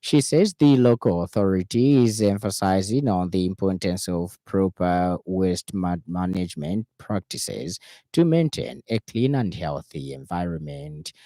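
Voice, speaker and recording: A man with a Zambian accent reading a formal, scripted radio news bulletin in a measured way. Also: deep voice, warm tone, no room sound.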